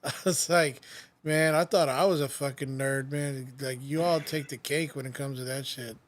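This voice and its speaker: A man's voice: mid-range voice, fairly even intonation, normal speed. A man hosting a podcast, telling stories in casual, rambling chat full of restarts. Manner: rambling storyteller, many restarts and "like". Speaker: podcast host.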